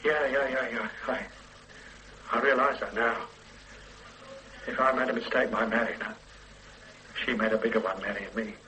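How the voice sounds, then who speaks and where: Brief: actor as a tired everyman grandfather, plain and pleading, mid pitch, bright tone. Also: steady tone, normal speed, long pauses, a little hiss or hum, close to an everyday voice, poor audio. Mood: weary. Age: older. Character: ordinary older man, weary husband and grandfather. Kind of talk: radio play acting.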